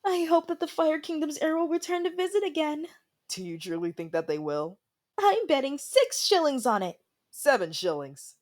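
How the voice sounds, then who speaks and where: Woman playing a playful palace servant, gossipy, conspiratorial, bickering, animated pitch, fantasy ASMR roleplay. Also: normal speed.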